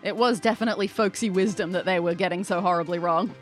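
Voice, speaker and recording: A woman's voice: lowish voice, fast talker, faint music behind. A woman hosting as game master of a podcast escape room, narrating scenes playfully for the players. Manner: playful, storytelling.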